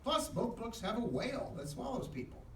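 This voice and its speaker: Male Sunday school teacher, comic straight man, animated but halting, heard from a distance with room echo and faint noise. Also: very high-pitched. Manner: hesitant, halting, questioning. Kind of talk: live comic dialogue with a puppet. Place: echoey classroom, in front of a class.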